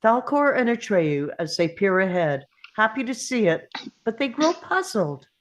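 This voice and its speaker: A woman's voice: fairly high pitch, sing-song, a little slow. A woman reading stage directions as scripted narration at a table read.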